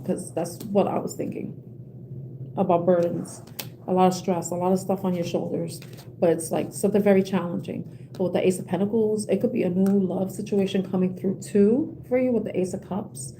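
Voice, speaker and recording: A young woman giving a collective tarot reading with a soothing voice, talking gently and rambling with many fillers and restarts. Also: mid-range voice, a little hiss or hum, poor audio. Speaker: tarot reader.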